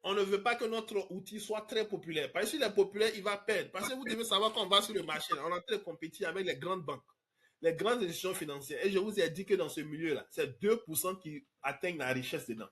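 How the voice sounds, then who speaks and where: A man's voice: high-pitched, fast talker, fairly bright tone, breathy edge. A man from Côte d'Ivoire, hosting a live online question-and-answer talk in an emphatic way. He repeats words and drops English fillers. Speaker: trading course host answering questions.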